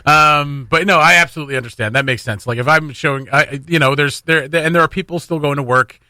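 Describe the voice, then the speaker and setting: Bright-voiced Canadian man hosting radio. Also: on the high side, quick pace, no room sound. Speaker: radio host. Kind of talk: casual morning radio chat. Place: radio studio. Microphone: close studio microphone.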